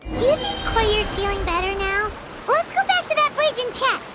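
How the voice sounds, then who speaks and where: A woman voicing a small, childlike fairy companion in a video game, squeaky, earnest and eager to help. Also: sing-song, moderate pace, sharp tone, full character voice. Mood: eager, curious. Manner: refers to self in third person, earnest.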